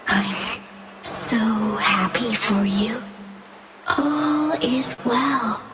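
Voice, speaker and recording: An actress voicing a playful, wistful ghostly girl in a video game, with a childlike, breathy delivery. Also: a little high, extremely slow. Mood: tender, wistful. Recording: loud game music over voice.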